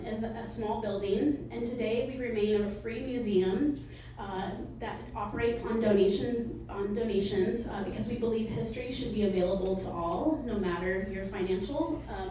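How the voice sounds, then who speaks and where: A soft-spoken woman, a museum director, giving a live fundraising pitch with nervous little laughs and many ums. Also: a little hiss or hum. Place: meeting hall with audience. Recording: echoey hall from far off.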